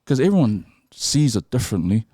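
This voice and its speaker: A young man from New Zealand, a guest telling his stories in casual podcast chat, animated and laughing as he talks. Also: fairly quick, husky, no echo, clean studio sound. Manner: animated, swings high for impressions and laughs. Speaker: podcast guest, film director.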